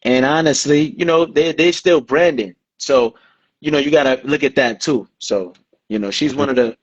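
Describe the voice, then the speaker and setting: A man co-hosting a podcast, talking sports in a measured, laid-back way, often saying "I mean" and "you know.". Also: medium pitch, husky, muffled recording. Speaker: podcast co-host. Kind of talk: casual sports talk.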